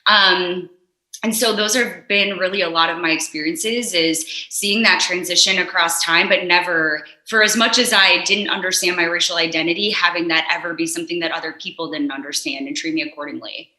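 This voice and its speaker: Young woman guest, earnest and reflective, bright sharp tone, roomy echo. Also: on the low side, steady tone, brisk. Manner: earnest, reflective, frequent fillers. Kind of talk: candid conversational discussion.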